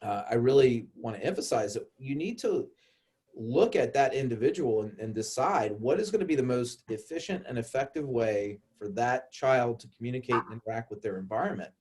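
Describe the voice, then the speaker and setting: A man's voice: mid-range voice, lively intonation, fairly quick, darkish tone. Upbeat man hosting a panel. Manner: upbeat, joking, moderating. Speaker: podcast host. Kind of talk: casual panel discussion.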